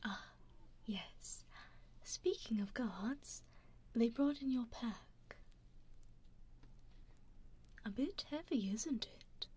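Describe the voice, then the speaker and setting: A woman with a British accent voicing a teasing aristocratic female vampire in an ASMR roleplay, whispering softly and slyly into a binaural mic. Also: on the high side, animated, very slow, long pauses, slightly rough, full character voice. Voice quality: soft whisper, sly and mocking.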